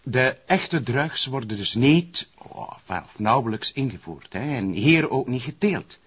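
A man's voice: mid-range voice, lively intonation, unhurried, a bit bright, breathy edge, no echo. Wry Flemish policeman, muffled sound. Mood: dry. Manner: wry, politely ironic. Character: dry, teasing male police sidekick. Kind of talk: radio crime drama acting.

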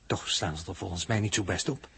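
A man with a Netherlands Dutch accent playing a sober, sceptical captive spaceman in a 1960s radio drama, with a dry, measured delivery. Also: sits low, creaky, a bit bright, breathy edge, full character voice. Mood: concerned. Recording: vintage 1960s radio recording.

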